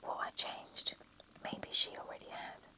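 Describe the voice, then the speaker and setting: A reader whispering a romantic story in a soft, unvoiced hush, with small slips and self-corrections. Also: unhurried. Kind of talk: whispered story reading, ASMR.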